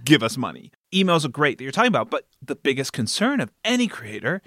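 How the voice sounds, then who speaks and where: A man hosts a conversational interview in a bright, forward voice. His pitch sits a little high and swings widely as he makes his points. The sound is dry, with very little room on it. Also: fast talker, no echo. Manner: animated, frequently checking in with "right?". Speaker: podcast host and interviewer.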